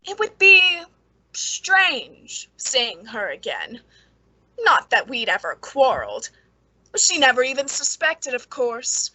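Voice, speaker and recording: A woman's voice: sits high, very animated, slow pace, pushed and bright, slightly rough, dry recording, full character voice, low-quality recording. An actress playing a nervous, secretly bitter woman with a hesitant, pleading manner in an old-time radio suspense drama. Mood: brooding narration.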